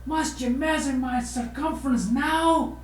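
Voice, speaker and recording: An anguished storybook dragon, once a father, acted out by a man reading aloud. The voice is pushed high and loud, with stilted exclamations. It sounds in a roomy, noisy recording. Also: unhurried. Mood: whimpering complaint. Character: anguished father turned into a dragon, hissing, whimpering.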